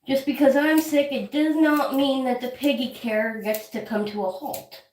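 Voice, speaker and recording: A woman's voice: high-pitched, fairly even intonation, moderate pace, a little mellow, reverberant, recorded from a distance, a little hiss or hum, rough recording. Congested woman chatting on a pet livestream. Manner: chatty, talks to her pets affectionately. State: congested with a head cold, clearing throat. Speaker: guinea pig channel host. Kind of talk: casual livestream chat while caring for pets. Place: room at home, weighing pets on a counter.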